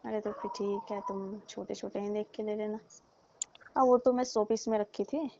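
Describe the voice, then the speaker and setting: A woman's voice: mid-range voice, fairly even intonation, moderate pace, natural voice. A woman playing a chatty, defensive fruit-seller auntie in a comic phone call, talking colloquially and repeating herself. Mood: mildly protesting. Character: chatty, defensive middle-aged auntie who sells fruit. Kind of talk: staged comic phone conversation, casual chat. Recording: muffled lo-fi call recording with hiss.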